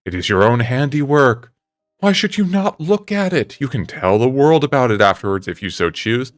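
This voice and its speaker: Man acting insolent young gentleman, low hard voice, vain, cold, scornful, deliberate, audiobook drama, music behind. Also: big pitch swings, no echo, natural voice.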